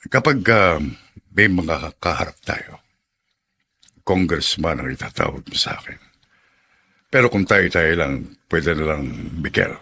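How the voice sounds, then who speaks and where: A gravelly, deep-voiced Filipino congressman. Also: animated, a little slow, pauses often, pushed and bright, heavily acted. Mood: proud. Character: prosperous, self-important male congressman and father. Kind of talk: radio drama acting.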